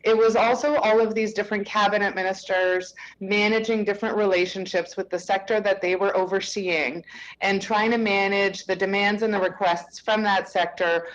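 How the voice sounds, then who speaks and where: A woman answering as a conference panelist, loud and conversational, with frequent "um"s. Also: medium pitch, steady tone, moderate pace, muffled recording, slight background noise. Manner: loud, self-aware, conversational with fillers.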